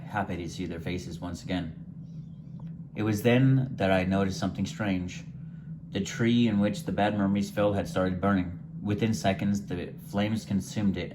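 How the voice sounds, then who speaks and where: Very deep-voiced man reading a story. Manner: unhurried, gentle storytelling read from a page with occasional stumbles. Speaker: author reading his own story. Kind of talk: first-person short story read aloud. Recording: noticeable background hiss.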